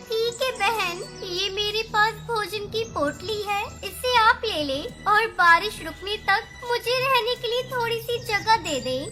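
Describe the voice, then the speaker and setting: A woman voicing a caring, anxious mother bird in an animated children's story. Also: very high-pitched, a little slow, hardly any pauses. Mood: pleading. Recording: music behind the voice.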